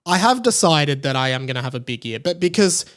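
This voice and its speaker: Higher-voiced enthusiastic Australian man. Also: very animated, fairly quick, a bit bright. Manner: enthusiastic, effusive. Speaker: podcast host. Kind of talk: casual solo podcast chat.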